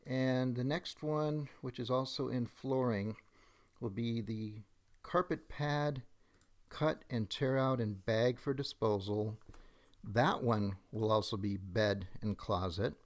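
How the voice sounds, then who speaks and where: A middle-aged man narrating a software demonstration step by step, methodically thinking aloud as he goes. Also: medium pitch, fairly even intonation, slow pace.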